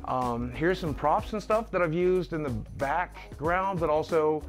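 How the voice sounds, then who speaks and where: A man's voice: on the high side, measured pace. Candid middle-aged man over background music. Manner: candid and self-deprecating. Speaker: online video creator giving a house tour. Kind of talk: casual talk to camera, walking through a house. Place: rooms of a house, indoors. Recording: music playing behind the voice.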